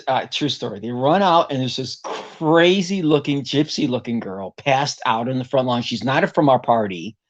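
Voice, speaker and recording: A middle-aged man with a tenor voice, mid-pitched, telling stories in a casual interview. He is an animated storyteller who slips into other people's voices. The recording is dull and lo-fi. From Puerto Rico. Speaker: guest vocalist.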